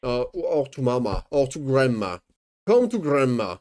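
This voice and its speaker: A man's voice: medium pitch, very animated, unhurried, darkish tone. A man streaming, sarcastically mocking a video as he watches it, often stammering and swearing. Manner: sarcastic, swears, jokes and mocks; stammers and repeats words. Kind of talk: casual reaction commentary.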